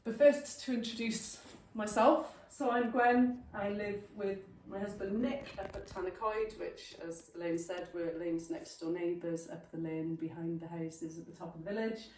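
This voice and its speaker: A woman's voice: medium pitch, steady tone, normal speed, few pauses, a little mellow. Chatty Welsh woman in an echoey hall. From Wales. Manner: chatty, self-deprecating, conversational. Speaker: guest speaker. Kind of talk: informal talk to a local group. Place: village hall. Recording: echoey hall, mic at a distance.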